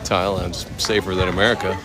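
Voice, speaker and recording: A man from Oakland in his thirties, casually chatting with viewers while walking through a temple courtyard outdoors, easygoing and quick to laugh. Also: deep voice, level tone. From Oakland, California. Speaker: vlogger answering live chat. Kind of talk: casual live-stream walk and chat.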